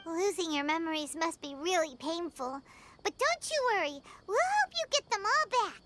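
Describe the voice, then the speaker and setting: A woman voicing a tiny, excitable girl companion in a game, chirpy and eager. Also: very high-pitched, heavy background noise. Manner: chirpy, eager, quick to reassure. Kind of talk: game voice acting. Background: game music.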